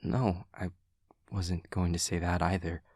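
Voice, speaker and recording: A man voicing an awkward, caring young demon classmate in an intimate audio roleplay, with soft husky voice and stilted, formal, hesitant phrasing. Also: sits low, level tone, a little slow, no echo, clean studio sound. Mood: awkward, gentle.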